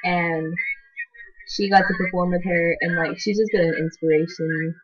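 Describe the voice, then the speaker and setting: A woman's voice: lowish voice, fairly even intonation, moderate pace, dull audio, noisy background. Chatty teen girl, lots of "like". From half Chilean, half American. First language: Spanish. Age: teens. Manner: chatty, rambling, constant "like" filler. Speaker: channel co-host introducing herself. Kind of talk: casual talking-to-camera vlog.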